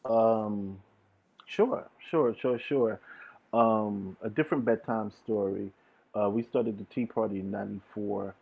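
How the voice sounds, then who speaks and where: Male podcast guest, slangy and sincere, husky voice, dull video-call audio. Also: on the low side, measured pace. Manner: earnest, informal slangy talk. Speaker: interview guest, DJ and music producer. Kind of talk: casual chat.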